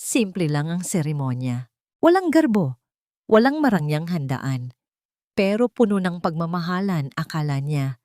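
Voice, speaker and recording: A woman's voice: lowish voice, very animated, unhurried, mellow, dry recording, clean studio sound. A woman reading a scripted dramatic story as narrator.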